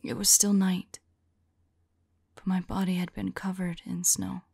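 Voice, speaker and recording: A woman playing a remorseful, lovelorn female vampire in an ASMR roleplay, whispering softly and tenderly in a halting, intimate monologue. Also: on the low side, level tone, no echo, full character voice. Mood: haunted, sorrowful. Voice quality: soft, breathy whisper. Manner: slow, halting, tender delivery.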